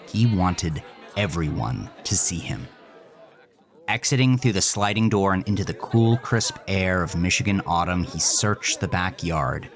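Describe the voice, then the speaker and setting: A man narrating a scripted horror story intensely, dropping to a hush in grim moments. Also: low-pitched.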